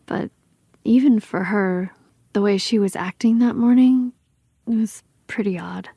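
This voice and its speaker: A woman playing a missing woman's protective, bitter best friend, talking in a casual, halting, emphatic interview style. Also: mid-range voice, vocal fry, dark tone, slightly rough, no echo. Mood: wistful, bitter. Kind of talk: acted documentary interview, conversational.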